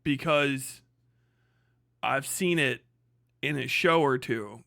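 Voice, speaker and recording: A man's voice: medium pitch, takes it slowly, vocal fry, sharp tone, no echo, studio quality. A man live streaming in casual reaction chat, talking in an exclamatory, profane way.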